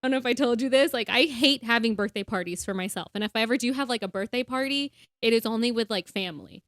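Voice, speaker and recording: A woman's voice: a little high, fast, fairly bright tone, no echo, studio quality. A young woman co-hosting a casual faith-based podcast, chatty and full of fillers, laughing easily. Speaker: podcast co-host.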